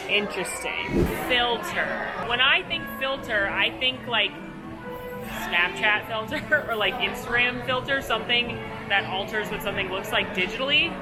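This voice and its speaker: Upbeat female vlog host, playful and chatty, recorded outside with background music. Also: on the high side. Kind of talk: upbeat theme-park vlog. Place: outdoors at a theme park.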